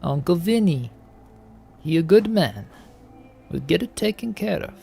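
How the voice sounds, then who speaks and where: A man's voice: a little high, expressive, measured pace, creaky, warm tone, slightly rough, pitched above the performer's own voice, heavily acted. A man hamming up a boastful mafia wiseguy. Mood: smug. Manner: mock-tough, threatening banter.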